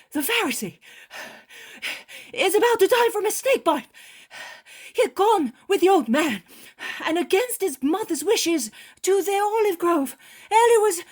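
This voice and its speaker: A woman voicing an excitable male fisherman who talks out of breath and with urgency. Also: extremely high pitch, voice pushed up. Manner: urgent, agitated.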